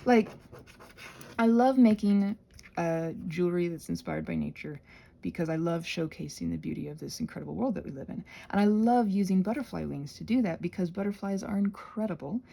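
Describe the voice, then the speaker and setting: A woman with a lively, swooping voice and some gravel in it chats casually as a craft channel host. She is playful and self-deprecating, wandering between tangents as she works with her hands. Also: lowish voice, brisk. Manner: playful, self-deprecating, often giggly while talking; digressive, thinking aloud while working with hands. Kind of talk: casual rambling craft chat.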